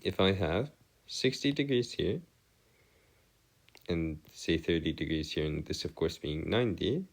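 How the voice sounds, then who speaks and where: Male tutor, low voice, swooping intonation, formal wording, hesitant, step-by-step explaining. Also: lively intonation, a little slow, creaky, pauses often. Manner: formal, wordy phrasing, hesitant, self-correcting. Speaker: math tutor. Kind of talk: informal lecture, explaining step by step.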